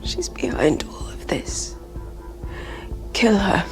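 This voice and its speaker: A woman's voice: slow pace, natural voice. Actress as a whispery, embittered captive woman. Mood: bitter. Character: weakened, bitter woman captive, fiercely distrustful. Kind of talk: film drama acting. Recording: music behind the dialogue.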